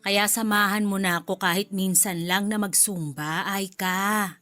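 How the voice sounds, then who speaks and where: An actress playing a middle-aged mother-in-law who is chatty, fussy and quietly critical, in a radio drama. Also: mid-range voice, steady tone, a little slow, no room sound, soft background music. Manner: chatty, fussy, laughs often.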